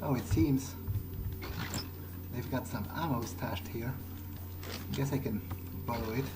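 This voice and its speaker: A man's voice: mid-range voice, slow pace, background noise. A man playing a cautious, wry vampire fledgling in an improvised video game playthrough, thinking aloud hesitantly. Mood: cautious, watchful. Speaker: gamer playing in character. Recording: game music under the voice.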